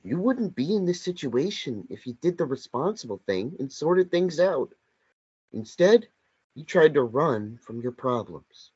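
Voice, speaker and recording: A man acts out a sardonic, blunt companion in a stage drama. He needles and confronts with dry wit, the pitch moving widely. The recording is a little dull. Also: very animated, measured pace, a little mellow. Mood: accusing. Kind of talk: script table read. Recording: dull lo-fi sound.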